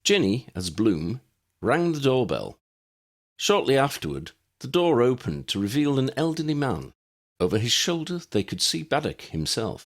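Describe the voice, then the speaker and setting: A man's voice: medium pitch, very animated, normal speed. A man reading scripted story narration as an audiobook narrator.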